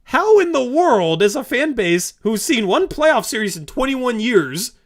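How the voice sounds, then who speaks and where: Twenty-something dude venting on a sports show, worked up, keeps cutting in. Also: male voice, extremely high pitch, unhurried. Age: 20s. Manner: animated and exasperated, talks over his partner. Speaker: podcast co-host. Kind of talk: casual sports chat.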